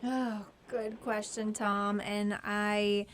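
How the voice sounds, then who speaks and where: A woman's voice: mid-range voice, little pitch movement, drawn-out words. A woman hosting a call-in financial advice show, friendly and patient as she explains things to a caller. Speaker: financial advisor host.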